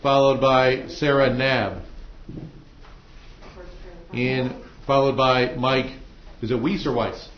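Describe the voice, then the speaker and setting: Echoey American male judge, conversational and halting. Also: medium pitch, steady tone. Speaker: judge presiding over a trial. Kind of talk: courtroom proceedings, informal instructions to jurors. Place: indoor courtroom. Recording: echoey, muffled courtroom audio with noise.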